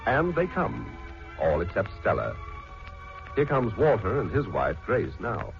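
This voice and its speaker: A man's voice: fairly low pitch, wildly swooping pitch, measured pace, creaky. A man giving scripted narration for an old radio drama. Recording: muffled 1940s radio broadcast. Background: music.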